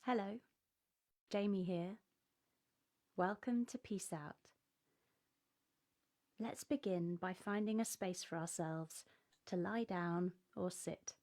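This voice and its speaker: Slow, measured woman guiding children's relaxation. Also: fairly low pitch. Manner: measured, gentle step-by-step instructions. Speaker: host of a children's relaxation program. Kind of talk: guided relaxation narration for children.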